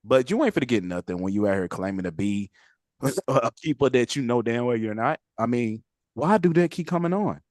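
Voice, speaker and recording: A man's voice: mid-range voice, animated, fairly quick, darkish tone, dry recording, clean studio sound. A man hosting a casual podcast chat, blunt and preachy, swearing freely. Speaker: channel host.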